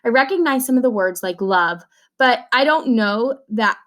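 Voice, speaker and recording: A woman reading a children's novel aloud in an expressive way, acting out the dialogue as she goes. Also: fairly high pitch, normal speed.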